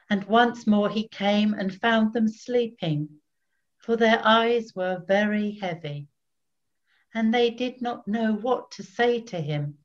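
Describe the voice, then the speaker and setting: An older woman narrating scripture from a script in a measured way, pausing between phrases, recorded at home on a webcam. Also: fairly high pitch, lo-fi sound. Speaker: narrator, Evangelist reader. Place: indoors in a home room.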